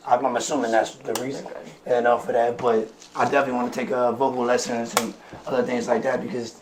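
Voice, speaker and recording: A man with a worn, raspy voice chatting casually and talkatively in slang as a podcast host. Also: medium pitch, level tone, quick pace, crowd noise. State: voice worn from smoking and studio work. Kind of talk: casual chat.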